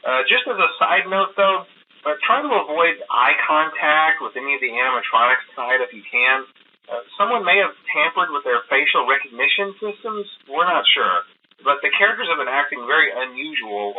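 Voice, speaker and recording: A man's voice: a little high, expressive, normal speed, a little hiss or hum, close to an everyday voice. Tinny phone-line actor as chatty, nervous male employee. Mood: uneasy, reassuring. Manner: hesitant, rambling, breezily downplaying trouble. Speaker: phone guide to a new night guard. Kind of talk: casual recorded phone briefing.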